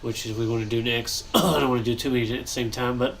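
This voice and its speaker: A man's voice: medium pitch, steady tone, quick pace. Young man, stuffy nasal voice, rambling game commentary, faint hum behind. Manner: rambling, thinking aloud, frequent coughs and throat clearing. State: congested, nasal. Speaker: gaming channel host. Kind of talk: casual commentary while building in a game.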